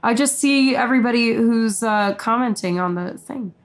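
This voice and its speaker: Young woman streaming a game reaction, chatty and excitable, faint music behind. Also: a little high, normal speed. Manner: chatty, excitable, reads chat aloud. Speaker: co-host, musician.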